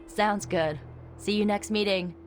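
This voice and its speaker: An actress playing an informal, chatty and slightly awkward young sorceress in a video game. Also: female voice, medium pitch, steady tone, unhurried, faint music behind, natural voice. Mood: friendly, careful. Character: casual, eager young sorceress, a bit awkward. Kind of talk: video game cutscene acting.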